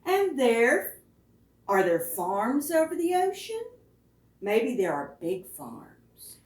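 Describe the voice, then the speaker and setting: Female children's storytime reader: slow, animated, question-led delivery for preschoolers, recorded from a distance in a roomy space. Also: fairly high pitch. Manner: expressive, addresses young listeners with questions. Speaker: children's librarian reading at storytime. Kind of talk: picture-book read-aloud for preschoolers. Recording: roomy echo, distant microphone.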